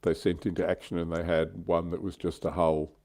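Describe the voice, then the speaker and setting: Low, mellow-voiced man chatting casually. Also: flat delivery, fairly quick, vocal fry. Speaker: livestream host. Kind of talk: casual hobby chat.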